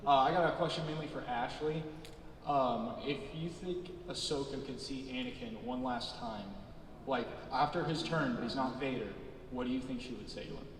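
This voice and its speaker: A man in the audience asking the panel a question in a quick, eager way, heard from far off in a large hall. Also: mid-range voice, a little hiss or hum.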